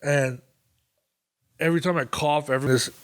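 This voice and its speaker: A man's voice: fairly high pitch, fairly even intonation, normal speed. Rambling, profane man hosting a podcast. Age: 30s. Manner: rambling, profane, self-mocking, with fillers. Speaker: podcast host. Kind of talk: casual solo podcast monologue.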